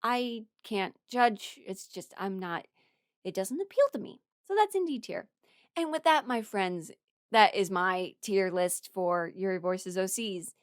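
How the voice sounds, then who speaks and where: A young adult woman rambling casually through her opinions as a video creator, expressive and emphatic, blunt but apologetic. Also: medium pitch, measured pace, no echo, clean studio sound. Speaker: video creator ranking characters.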